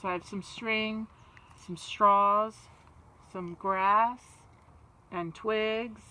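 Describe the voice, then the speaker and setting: A woman reading a children's story and chatting, expressive, with simple child-directed phrasing. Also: medium pitch, extremely slow, smooth. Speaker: story-time reader for children.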